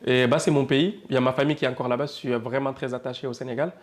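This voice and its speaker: A man's voice: mid-range voice, fairly even intonation, very fast. A man in his twenties from Senegal talks casually about starting a food business. He has a mid-range voice, and his speech is loose and conversational, full of little fillers. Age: 20s. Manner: casual, chatty, lots of fillers. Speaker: interviewee, cook and business owner. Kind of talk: documentary interview.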